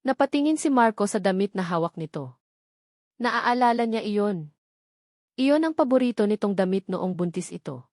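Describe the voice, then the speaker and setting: A woman's voice: mid-range voice, animated, moderate pace, darkish tone, dry recording, studio quality. A woman reading a scripted romantic drama as a story narrator. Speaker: narrator of audio love stories.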